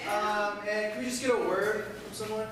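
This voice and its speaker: A man's voice: sits high, steady tone, normal speed, noisy background. A man performing an improv scene on stage, playful and eager. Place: small theater. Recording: echoey theater from far off. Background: laughing audience.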